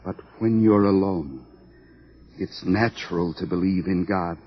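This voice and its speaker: A slightly dull radio drama recording presents a young idealist outsider. He speaks slowly, with a husky edge. His delivery is earnest, solemn and stubbornly defiant. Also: low voice. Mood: solemn, questioning. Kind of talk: theatrical radio drama acting.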